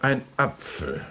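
A man playing a suave, amused prosecutor and politician in a radio play, ironic and self-assured, laughing often. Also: bright, forceful tone. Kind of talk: German radio play acting.

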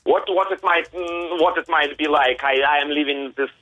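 A man from Ukraine with a Ukrainian accent, a native Russian speaker, giving a halting eyewitness account and searching for words in a live interview over the phone. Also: on the high side, steady tone, pushed and bright, dry recording. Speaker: interviewee, city resident. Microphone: telephone. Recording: thin, tinny phone line.

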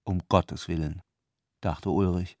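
A man as a measured, ironic narrator in a literary radio drama, reading in a deliberate, wry way. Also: low-pitched, steady tone, no room sound, studio quality.

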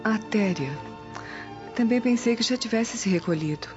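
A woman with a Brazilian accent playing a gentle, worried Roman noblewoman in a radio drama. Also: a little high, animated, moderate pace, music in the background, lightly acted. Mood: subdued worry. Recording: dull, muffled studio track.

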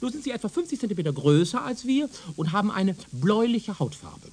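An actor playing a pompous, self-assured, condescending male professor in a radio play, lecturing emphatically in a husky voice. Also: sits high, sing-song, brisk, a little mellow, full character voice. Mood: confident. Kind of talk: German radio drama acting.

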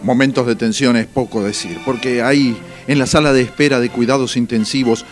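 A man narrates a comic radio drama from a script. His voice sits at a middle pitch. Light music plays beneath him. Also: steady tone, a little fast.

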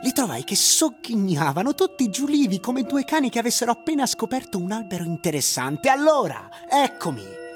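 An actor playing a young, idle shopkeeper who tells his story theatrically, wry and excitable, with stammered repetitions. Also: extremely high pitch, brisk, music in the background. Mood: excited recollection. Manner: expressive, hesitant stammering repetitions. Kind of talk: theatrical first-person narration with acted dialogue.

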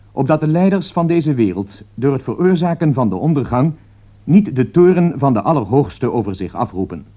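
A serious newsreader in a radio play, acted by a man, delivers urgent crisis bulletins with formal, measured diction. The voice is of medium pitch. The sound is dull, like an old lo-fi recording. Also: natural voice. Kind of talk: scripted radio drama news bulletin.

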